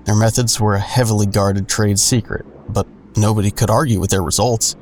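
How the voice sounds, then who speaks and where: A man's voice: fairly low pitch, steady tone, fairly quick, a little mellow, a little hiss or hum, close to an everyday voice. An actor playing a jaded man who narrates his own story in an audio drama with wry, sardonic delivery. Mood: wry, brooding. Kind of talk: first-person noir-like audio drama narration.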